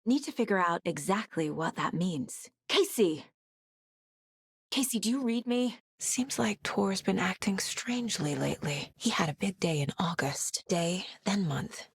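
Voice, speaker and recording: A very dry, close recording of a sharp federal investigator in a video game. She is measured and thoughtful, working through things in short, clipped phrases with steady determination. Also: mid-range voice, creaky, husky, no room sound, exaggerated voice. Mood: urgent shouting. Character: determined female FBI agent and mother, sharp, inquisitive investigator.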